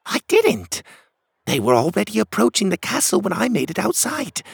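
Storybook metal girl, played by a male narrator: small, sassy, high-pitched, breathy-rough edge. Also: huge pitch swings, normal speed, no echo, studio quality, heavily acted. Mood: modest, hesitant. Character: small metal dragon-wary female cog creature, sassy.

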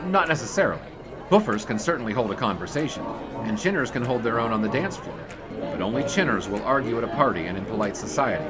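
An actor as a wry, pedantic young sportswriter. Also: male voice, mid-range voice, lively intonation, a crowd in the background, lightly acted. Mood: dryly pedantic. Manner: measured, wordy, dryly pedantic. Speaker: actor in an audio drama. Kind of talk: period radio drama dialogue.

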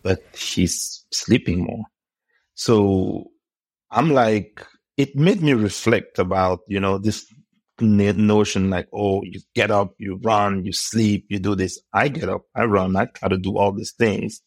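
A man with a very low voice speaks as an interview guest. He talks in a reflective, unhurried way, thinking aloud and often dropping in "you know". The recording is dry and close, with little room sound. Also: steady tone, dry recording. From Cameroon. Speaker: podcast guest, neurotechnologist. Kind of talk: casual interview conversation.

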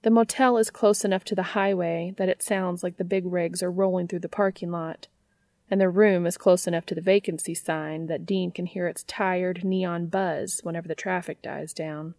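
A woman's voice: on the low side, steady tone, moderate pace, darkish tone, no echo. A woman narrating a piece of written fiction in the style of an audiobook.

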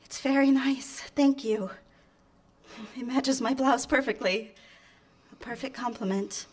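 An actress playing a timid, eager-to-please young woman smitten with her boss, speaking meekly and haltingly in a live radio drama. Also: high-pitched, darkish tone. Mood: nervous. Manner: hesitant, meek, halting.

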